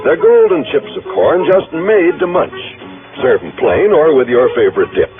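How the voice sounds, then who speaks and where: A man's voice: fairly high pitch, big pitch swings, moderate pace. An American man reading a commercial in the style of a 1950s radio announcer. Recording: tinny old radio broadcast with music.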